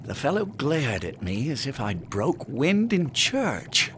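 A man playing a skeptical, reasonable colonial apothecary in a radio drama, with a drily expressive delivery. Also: medium pitch, slow pace, a little hiss or hum, exaggerated voice. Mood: skeptical, reasoning. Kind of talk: radio drama acting in period speech.

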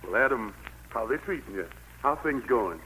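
A man's voice: mid-range voice, lively intonation, moderate pace, sharp tone. An actor playing God as a genial, fatherly country patriarch with a Southern US accent and a folksy, commanding manner in an old radio play. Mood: gentle, fatherly. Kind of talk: 1940s radio play acting. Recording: noisy old radio recording.

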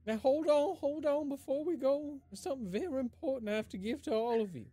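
A woman's voice: high voice, fairly quick, dark tone, no room sound, natural voice. Woman playing a doting old granny. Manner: cooing and coddling. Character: doting old grandma, fussy and sweet.

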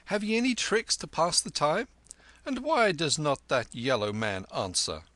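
A man's voice: fairly high pitch, animated, a little slow, sharp tone, dry recording, pitched above the performer's own voice. A man voicing a proud, brash young soldier with a boastful manner. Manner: boastful, scowling.

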